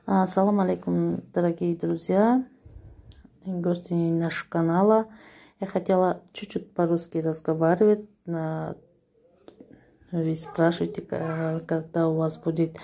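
A woman's voice: fairly low pitch, flat delivery, measured pace, creaky, warm tone. A woman with a Tajik accent, from a Tajik-speaking background, casually showing clothes she sells on a phone video at home, hesitant with fillers. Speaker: clothing seller. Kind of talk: casual product showcase video. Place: small room at home. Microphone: handheld phone.

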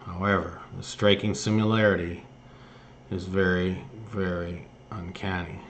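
A man with a fairly low voice presents online political commentary. He reads written pieces aloud and adds his own remarks in a halting way. Some noise sits behind his voice. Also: little pitch movement. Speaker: host of an online news commentary show. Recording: noticeable background noise.

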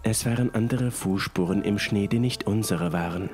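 A man's voice: on the low side, moderate pace, darkish tone. A man reading a scripted first-person horror story as narrator. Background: music and rain sounds.

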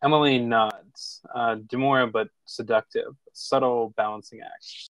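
A man reading screenplay stage directions as narrator, informal and slightly halting. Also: medium pitch, steady tone, a bit bright, dry recording, low-quality recording. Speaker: narrator of a screenplay table read.

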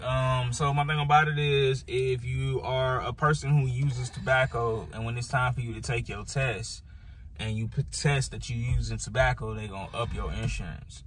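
A man's voice: mid-range voice, fairly bright tone. A man chatting casually and bluntly in a car, swearing freely. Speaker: vlogger's husband joining in. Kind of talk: casual chat. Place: inside a car. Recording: noisy in-car recording.

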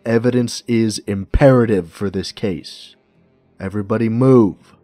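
A man playing a hard-boiled, commanding detective with terse, gruff delivery in an audio roleplay. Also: fairly low pitch, unhurried, dark tone, no echo, soft background music, close to an everyday voice. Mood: urgent, commanding.

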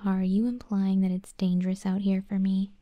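A woman playing a proud, grudging scorpion monster girl in a soft, breathy, whispered ASMR roleplay. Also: mid-range voice, little pitch movement, normal speed, no room sound, dull audio, natural voice. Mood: defensive, proud. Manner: grudging, flustered, haughty.

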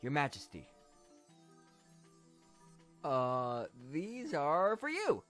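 A man's voice: fairly high pitch, huge pitch swings, drawn-out words, a bit bright, soft background music, full character voice. A man voicing a nervous young male pony squire who schemes reluctantly, awkward and hesitant in a fan cartoon.

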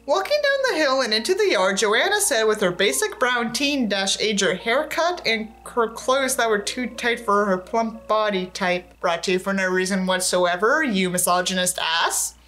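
A woman's voice: medium pitch, lively intonation, moderate pace, hardly any pauses, fairly bright tone. A young woman reading a book aloud as a commentary host, theatrical and sarcastic, with profane asides. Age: young adult. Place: indoors, small set with tinsel backdrop.

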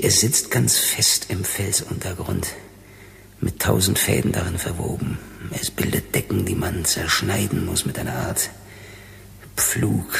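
A gravelly, embittered space miner. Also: male voice, sits low, a little hiss or hum, exaggerated voice. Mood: intense, menacing. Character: rough, proud, embittered miner on Venus, male. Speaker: actor. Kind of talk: German radio drama acting.

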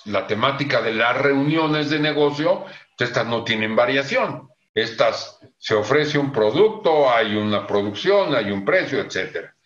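An older man with a Mexican Spanish accent, a convention manager explaining plans in a measured way on a video call from his home office. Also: mid-range voice, a bit bright. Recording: echoey room, dull lo-fi sound.

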